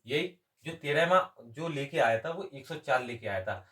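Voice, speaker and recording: A man's voice: medium pitch, expressive, moderate pace, pushed and bright. A man teaching step by step as an exam maths coach, asking questions and answering them himself. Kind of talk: step-by-step lesson.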